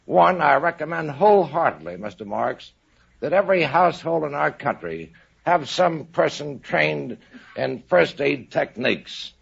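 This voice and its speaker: An older American man speaks with a very gravelly, husky voice. He answers formally and deliberately, like an official being interviewed. The recording is dull vintage radio from the 1950s. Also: fairly high pitch, expressive. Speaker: retired admiral, quiz show contestant.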